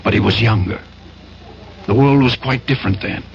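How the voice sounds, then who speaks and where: Self-assured, commanding schemer, gravelly and persuasive, 1970s radio drama, dull old recording. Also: male voice, lowish voice, normal speed, slight background noise. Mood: storytelling. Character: smooth-talking, commanding older schemer, war veteran importer.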